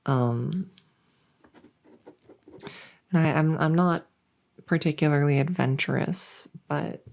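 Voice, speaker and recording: A woman's voice: sits low, takes it slowly, creaky, a little mellow, exaggerated voice. A woman voicing a silly, awkward monster who lives under a bed, rambling and stammering earnestly in a comedic ASMR roleplay. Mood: chatty, confiding. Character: silly, awkward female monster living under a bed, bungling her job of scaring. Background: writing sounds.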